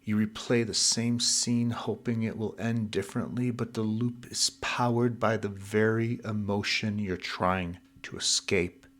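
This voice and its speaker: A man narrating guided sleep hypnosis in a soothing, deliberate and measured way. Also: lowish voice, fairly even intonation, a bit bright.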